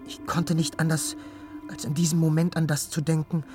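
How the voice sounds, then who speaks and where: Actor as haunted young nobleman, higher-pitched, halting. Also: little pitch movement, over music, natural voice. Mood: rapturous. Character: sensitive young nobleman recounting an uncanny night, awestruck. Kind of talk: German radio drama acting.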